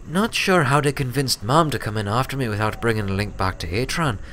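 A man's voice: medium pitch, a bit bright, slight background noise, voice pushed up. A man voicing a smug, serious young filly pony who explains things matter-of-factly in a story reading.

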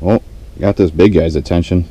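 A man with a low, mellow voice gives casual fishing commentary. He talks low-key, half to himself, into a body-worn camera, with some background noise. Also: fairly even intonation, normal speed. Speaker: fishing vlogger. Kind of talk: casual running commentary while ice fishing. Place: small ice-fishing shelter on a frozen lake, sometimes out on open ice. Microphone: action camera worn on the body. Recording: dark muffled sound, background noise.

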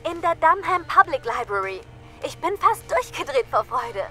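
An actress playing an excitable young female horror fan in an audio drama, with a teasing, enthusiastic manner. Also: high voice, normal speed, faint music behind, heavily acted. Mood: excited. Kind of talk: radio play dialogue.

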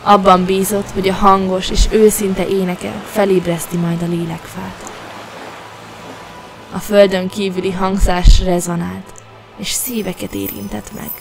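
Female storyteller, scripted fairy tale narration, medium pitch, prominent background music. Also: level tone, creaky.

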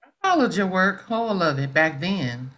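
A woman's voice: lowish voice, sing-song, a little slow, fairly bright tone. A middle-aged woman playing a proud, mouthy schoolteacher in a play reading on a video call. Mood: reminiscing, polite. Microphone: video call microphone.

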